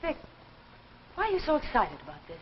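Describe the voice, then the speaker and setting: A woman's voice: sits high, huge pitch swings, a little fast, a crowd in the background. An actress playing a sensible, skeptical, protective small-town woman in a 1950s drama, with an American accent and a firm, earnest delivery. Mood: skeptical, probing. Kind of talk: 1950s television drama acting. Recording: noisy, muffled 1950s soundtrack.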